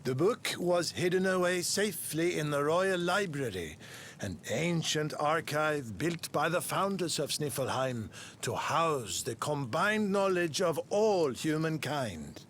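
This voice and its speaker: A kindly scholar of the arcane speaks in a video game, formal and measured. His voice is fairly high and very expressive, unhurried, over faint noise. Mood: earnest, storytelling. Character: kindly, courteous, self-important male scholar of arcane arts. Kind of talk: video game character exposition.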